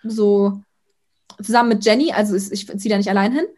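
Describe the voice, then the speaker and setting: A young woman, an influencer, chatting casually in an interview, outgoing and talking in quick bursts with many fillers. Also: mid-range voice, mellow, slight background noise. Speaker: influencer guest.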